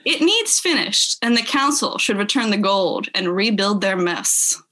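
A woman's voice: medium pitch, big pitch swings, unhurried, bright, forceful tone. A woman on a podcast, chatting casually and reading reviews aloud with wry amusement. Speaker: podcast speaker.

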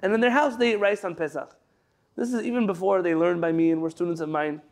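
A man's voice: high voice, fast, creaky. A man from San Diego, a rabbi giving a chatty, digressive class over a video call, reading out sources and then explaining them. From San Diego, California. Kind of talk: conversational Torah class.

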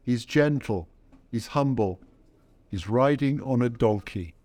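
A man speaking as a priest leading a service, preaching and praying in a measured, solemn way. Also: mid-range voice, lively intonation, a little mellow. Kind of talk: sermon and liturgy.

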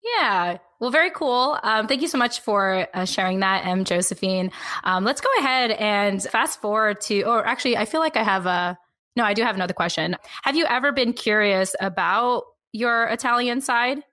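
A woman's voice: mid-range voice, animated, moderate pace, sharp tone. A woman hosting a podcast, chatty and upbeat as she interviews a guest and reads an ad. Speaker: podcast host. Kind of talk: podcast interview and host-read ad.

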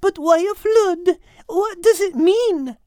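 A man's voice: very high-pitched, slow, warm tone, no room sound, studio quality, pitched above the performer's own voice. A man voicing a sweet, anxious little female dog in a storybook, with an innocent, questioning manner. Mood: puzzled, worried.

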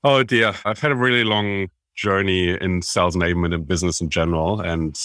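A man's voice: low voice, fairly even intonation, a little fast, fairly bright tone, smooth, dry recording, clean studio sound. A man from Germany with a German accent, a podcast guest talking at length and with enthusiasm about his career. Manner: enthusiastic, self-described business nerd, explains at length. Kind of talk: conversational interview.

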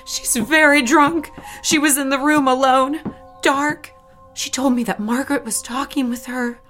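A woman's voice: sits high, steady tone, a little slow, a bit bright, faint music behind. A woman playing a meek, prim, anxious young mistress in an audio drama, polite, timid and pleading. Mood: distressed.

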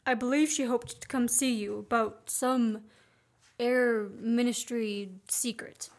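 A woman's voice: on the high side, steady tone, slow pace. A woman acting in a student radio play as an earnest, wary man on the run, with a polite, restrained manner. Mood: guarded. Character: earnest, wary male fugitive visitor.

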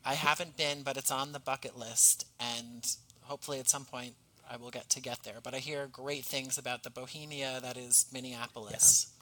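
A man's voice: medium pitch, little pitch movement, moderate pace, bright, forceful tone, low-quality recording. A man speaking as a podcast guest in a casual conversation, earnest and self-deprecating.

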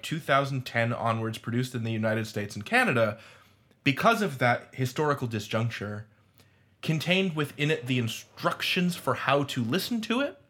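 A man's voice: medium pitch, fairly bright tone. A man speaking as a roundtable panelist, discussing criticism in articulate, academic language. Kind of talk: discussion of audio fiction criticism.

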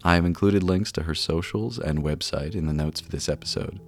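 Male host, extremely low bass voice, scripted episode intro with guitar music behind. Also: brisk. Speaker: podcast host.

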